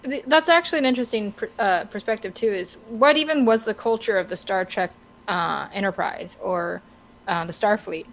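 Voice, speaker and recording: A woman co-hosting a casual podcast, talking through her opinions in a halting, wordy way as she searches for words. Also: mid-range voice, low-quality recording. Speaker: podcast co-host.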